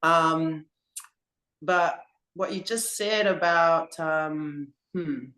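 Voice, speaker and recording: A woman rugby coach answering interview questions in a slow, earnest, reflective way. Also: low voice, fairly bright tone. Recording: roomy echo.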